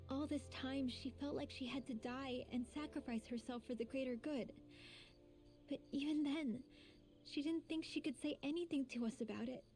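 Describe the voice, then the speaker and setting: A woman's voice: high voice, moderate pace, a little mellow, faint music behind. An actress playing a sensitive, self-reproaching teenage girl in an anime video game, earnest and emotional. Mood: regretful.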